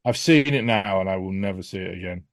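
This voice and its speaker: Low-voiced man in a dry, close recording. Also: quick pace, dry recording. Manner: blunt, sweary. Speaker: podcast guest. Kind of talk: casual, opinionated podcast chat.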